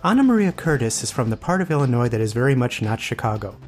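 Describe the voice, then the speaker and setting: A man's voice: medium pitch, big pitch swings, quick pace. A man reading a scripted introduction as the presenter of a literary podcast. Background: music.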